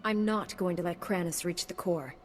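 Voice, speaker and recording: An actress playing a calm, resolute female knight hero in a video game. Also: lowish voice, moderate pace, lightly acted. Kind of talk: dramatic video game voice acting. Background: music behind the voice.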